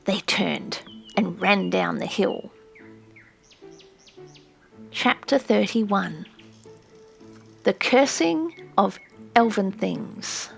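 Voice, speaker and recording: A woman's voice: mid-range voice, expressive, very slow, creaky, long pauses, faint music behind. A woman narrating an audiobook in a scripted, literary storytelling style.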